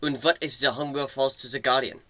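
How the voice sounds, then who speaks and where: Guy playing a jumpy sarcastic inventor dude in a fantasy story, sharp bright voice, very dry. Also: mid-range voice, steady tone, fairly quick, no echo. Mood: uneasy, dry. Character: jumpy, sardonic male inventor adventurer, story's hero. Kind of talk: dramatic storybook character reading.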